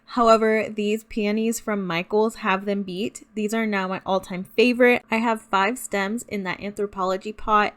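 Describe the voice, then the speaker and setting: A woman giving a casual, chatty voice-over as a home decor vlogger, talking directly and informally to her viewers. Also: mid-range voice.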